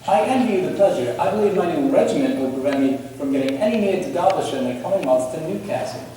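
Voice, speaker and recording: A man acts a charming but slippery young officer in a period drama, courteous and a little halting. His soft-edged, mellow tone comes from far off in an echoing hall. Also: on the high side, expressive, lightly acted. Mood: ingratiating, uneasy. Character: smooth, ingratiating young army officer, evasive charmer. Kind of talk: amateur period stage drama acting. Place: theatre stage, miked from afar. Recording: echoey hall, distant mic, faint noise.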